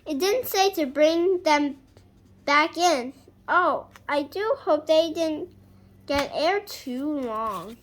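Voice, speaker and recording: A woman's voice: high voice, lively intonation, slow, pitched above the performer's own voice. A young girl voicing a cheerful, earnest, literal-minded housemaid from a storybook, eager and exclamatory. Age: young child.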